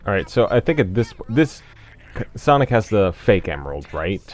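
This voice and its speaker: A man giving casual video game commentary, explaining things in a hesitant, measured way. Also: on the low side, sing-song. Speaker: host of a group video game playthrough. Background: video game music.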